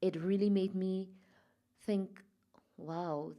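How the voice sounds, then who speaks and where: A woman from Trinidad and Tobago, a guest in an interview, talking softly and reflectively with many pauses and fillers. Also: medium pitch, fairly even intonation. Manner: soft, reflective, halting with fillers. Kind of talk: personal interview.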